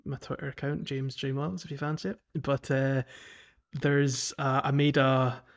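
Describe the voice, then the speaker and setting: A man's voice: mid-range voice, flat delivery, moderate pace, dull audio. A man talking casually and enthusiastically about video games as a podcast host, in a chatty way. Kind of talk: casual video game chat.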